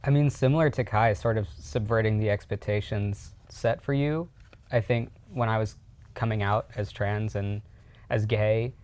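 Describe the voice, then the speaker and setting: A man in his twenties speaks as a podcast guest in a lowish voice, recorded with a dry, close sound. He talks calmly and reflectively, in a plain, candid way. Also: no room sound. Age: 20s. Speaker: youth leader guest. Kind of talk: candid personal story.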